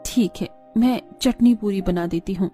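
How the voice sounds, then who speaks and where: A woman voicing a poor, gentle, hopeful mother in a cartoon moral story, speaking in a soft, reassuring, respectful way. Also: medium pitch, normal speed, natural voice. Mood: caring. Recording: background music under the voice.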